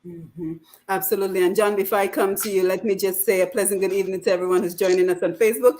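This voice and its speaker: A woman's voice: mid-range voice, fairly even intonation, fast, darkish tone, poor audio. A woman hosting a radio talk show, with a voice in the middle of the usual range. She poses questions to her guests, reads out viewer comments, and passes the floor from one guest to the next.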